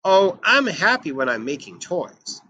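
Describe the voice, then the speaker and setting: A man with a high, bright voice reads a picture book aloud for children. His delivery is animated and emphatic, with lively swings in pitch. Some background noise sits behind him. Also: measured pace, dull audio. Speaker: children's storybook reader.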